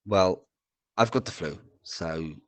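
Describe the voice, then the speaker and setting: A man's voice: low-pitched, takes it slowly, fairly bright tone, no echo. A man with a cold, co-hosting a podcast in casual chat, swearing and tripping over his words. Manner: rambling, swears, stumbles and repeats words. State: has a cold. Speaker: podcast co-host.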